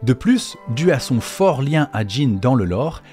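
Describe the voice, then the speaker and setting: A man's voice: medium pitch, big pitch swings, a little slow, a little mellow. A man narrating a scripted explainer as the host of a video on game lore. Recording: faint music behind the voice. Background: faint background music.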